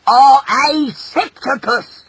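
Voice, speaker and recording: A woman's voice: on the low side, sing-song, very slow, a little hiss or hum, heavily acted. A woman voicing a stern, angry octopus father who barks and growls commands in a children's story. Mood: angry, disgusted.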